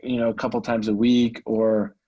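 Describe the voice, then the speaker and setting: A man's voice: mid-range voice, little pitch movement, normal speed, mellow, lo-fi sound. A man speaking casually and candidly, a little self-effacing, as a salesman on a recorded call. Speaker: salesman, prospective coaching client. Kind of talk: casual, candid phone conversation.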